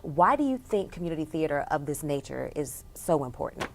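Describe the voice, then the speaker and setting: A woman interviewer with a somewhat low voice speaks with lively enthusiasm. She asks her guests questions and heaps praise on their work. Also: brisk, vocal fry. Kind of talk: casual interview.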